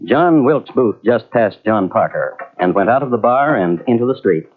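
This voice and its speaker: A man narrating an old radio mystery in a measured, wry storytelling manner. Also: medium pitch, animated, soft background music. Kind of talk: scripted narration. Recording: tinny old radio transfer from cassette.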